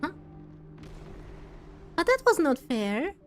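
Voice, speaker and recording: A woman with a fairly high voice gives casual commentary as she plays a video game. She reacts in short bursts, half to herself. Faint music runs underneath. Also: big pitch swings, takes it slowly.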